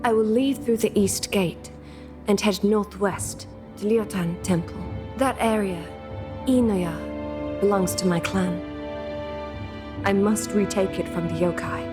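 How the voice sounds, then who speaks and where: An actress playing a composed, loyal warrior clan leader of feudal Japan in a video game cutscene. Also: female voice, mid-range voice, slow pace, natural voice. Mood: resolute, calm. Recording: music under the voice.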